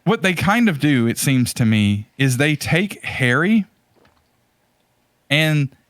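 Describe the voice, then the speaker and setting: A man's voice: a little high, big pitch swings, slow pace, a bit bright, no room sound. A man hosting a podcast in casual, opinionated film commentary, emphatic and rambling with repeated phrases. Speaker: podcast host.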